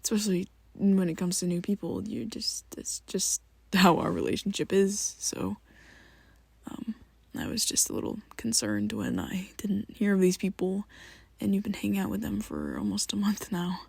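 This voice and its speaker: A woman in an ASMR roleplay, talking to the listener as a worried best friend, halting and rambling, often sinking into a soft whisper close to the mic. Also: medium pitch, big pitch swings, gravelly, breathy edge, no echo. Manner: hesitant and rambling, halting restarts.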